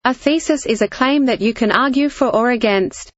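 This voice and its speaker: Higher-voiced woman reading a passage aloud. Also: normal speed, no room sound. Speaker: reader of exam practice passages. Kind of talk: reading a practice text aloud. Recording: dull lo-fi audio.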